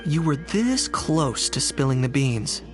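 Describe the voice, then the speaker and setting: Very low-voiced deadpan cool youngster. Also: female voice, a little slow, over music, full character voice. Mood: dry, teasing. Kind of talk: video game character acting.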